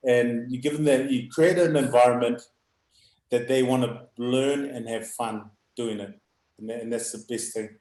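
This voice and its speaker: A middle-aged man with a loud, booming voice, a youth rugby coach talking in halting bursts with frequent laughs. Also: fairly even intonation. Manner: halting, restarts, laughs often. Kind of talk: live video call chat. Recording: echoey room.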